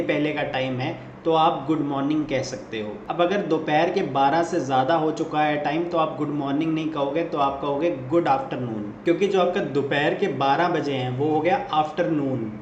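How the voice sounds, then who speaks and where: A man teaching basic English greetings, explaining step by step and saying each English model phrase slowly and clearly. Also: fairly high pitch, a little mellow, dull audio. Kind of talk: step-by-step spoken lesson. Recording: echoey room with faint ambient noise.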